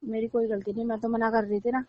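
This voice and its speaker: A woman's voice: on the high side, little pitch movement, quick pace, no echo, lightly acted. A young woman playing an upset, accusing girlfriend in a casual phone-call romance drama. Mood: insistent, annoyed. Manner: accusing, insistent, sulky. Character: upset young girlfriend blaming her boyfriend. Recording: dull, lo-fi phone call audio.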